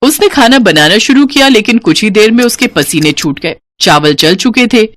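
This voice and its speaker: A woman narrating a scripted story in an animated storyteller's style. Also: medium pitch, normal speed, no room sound.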